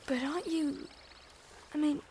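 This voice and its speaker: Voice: high-pitched, unhurried, darkish tone, slight background noise. A performer playing an earnest, awkward schoolboy in a radio drama, hesitant, stammering and easily astonished. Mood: flustered.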